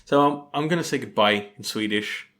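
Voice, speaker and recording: A man's voice: fairly low pitch, fairly quick, pushed and bright. A man co-hosting a podcast in casual chat. He hesitates a lot, agrees readily and fills gaps with "um" and "uh". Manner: hesitant, agreeable, many fillers. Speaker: podcast co-host.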